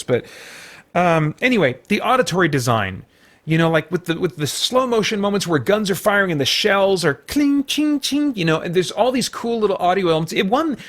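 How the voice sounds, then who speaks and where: A man's voice: fairly high pitch, fairly bright tone. A middle-aged man giving a casual, unscripted review as host, in a hesitant, rambling way with frequent backtracking.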